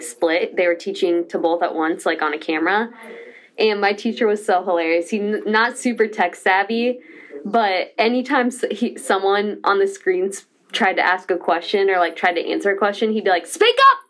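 A young woman hosting a casual team chat, telling stories in a lively way and mimicking others with loud bursts. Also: mid-range voice. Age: young adult. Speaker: host.

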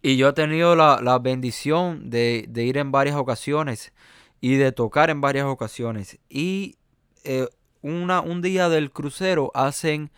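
Male jazz pianist, Cuban accent, resonant voice, halting conversational delivery. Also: mid-range voice, smooth, dry recording, studio quality. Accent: Cuban Spanish. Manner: hesitant, many fillers and restarts. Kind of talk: conversational interview.

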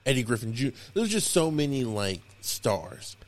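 A man's voice: mid-range voice, sing-song, moderate pace, no room sound. A man in his thirties co-hosting a podcast, talking loosely and casually with slang and plenty of fillers. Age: 30s. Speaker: podcast co-host. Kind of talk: casual chat.

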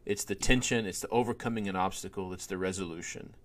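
Lively low-voiced man telling a story. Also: quick pace. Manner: animated storyteller, many fillers. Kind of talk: conversational podcast storytelling.

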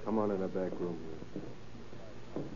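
An actor playing a gruff, irritable husband with a guilty secret in an old radio crime drama, impatient and snapping. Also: male voice, sits low, steady tone, slow. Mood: irritated. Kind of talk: 1930s-40s radio crime drama acting. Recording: old muffled radio recording with noise.

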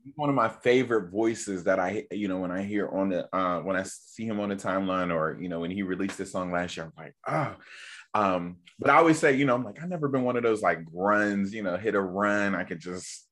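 Chatty man with a deep voice. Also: brisk. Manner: chatty, animated, lots of fillers. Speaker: podcast host. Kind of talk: casual podcast conversation.

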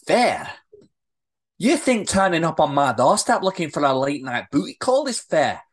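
A woman's voice: a bass voice, very animated, normal speed, breathy edge, heavily acted. A woman playing a feisty, indignant Scottish woman in acted drama dialogue, with blunt, colloquial delivery. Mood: angry ranting. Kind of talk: amateur script read-through, acted dialogue.

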